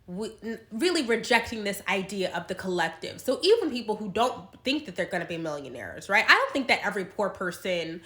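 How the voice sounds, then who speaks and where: A woman's voice: mid-range voice, sharp tone. A woman with a cold and a stuffed-up nose, chatting frankly to camera in a casual vlog and wandering off on tangents. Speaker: vlogger. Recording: echoey room.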